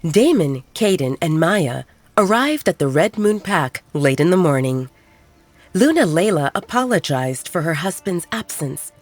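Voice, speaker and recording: A woman reading a romance story aloud as a calm, even narrator. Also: lowish voice, measured pace, dry recording, faint music behind. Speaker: audiobook narrator.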